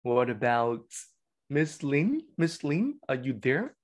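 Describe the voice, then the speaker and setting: A man hosting an online teachers' meeting, calling on each teacher in turn and filling pauses with ums. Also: mid-range voice, big pitch swings. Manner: slow, hesitant with many fillers. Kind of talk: hosting a video call.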